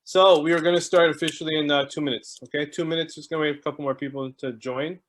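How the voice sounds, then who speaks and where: A man's voice: a little high, fairly even intonation, fast. A man hosting an online video call, chatting casually and greeting people one by one while he jokes about stalling. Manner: chatty, joking, warm greetings to each arrival. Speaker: host.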